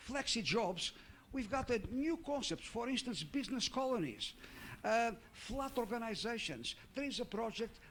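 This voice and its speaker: A middle-aged man talks through his slides at a conference. He speaks haltingly, full of "uh"s and restarts, and now and then quizzes the audience. A faint hum of room ambience sits under his voice. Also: high-pitched. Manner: halting, many fillers and restarts, asks the audience questions. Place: conference hall.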